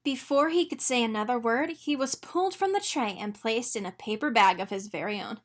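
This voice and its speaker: A woman reading a children's storybook aloud in an expressive narrating style. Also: a little high, a little fast. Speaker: storybook reader.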